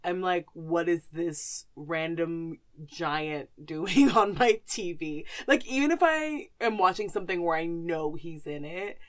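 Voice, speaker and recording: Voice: mid-range voice, a little slow, hardly any pauses, a bit bright. A woman podcast host chatting casually and informally about films and actors, with some slang.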